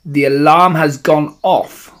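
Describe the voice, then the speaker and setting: A man voicing a theatrical, gloating villainess witch in an audiobook, with slow, drawn-out, relishing delivery. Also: a little high, slightly rough, exaggerated voice. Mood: gloating, scornful. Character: Grand High Witch, gloating theatrical villainess, syrupy then shrieking. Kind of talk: audiobook character voice.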